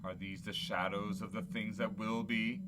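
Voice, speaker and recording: A man's voice: on the low side, flat delivery, moderate pace, very noisy, close to an everyday voice. A man playing a fervent, theatrical old miser in a radio play. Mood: fearful. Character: old miser Scrooge, pleading then giddily redeemed. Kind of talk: stage radio play acting.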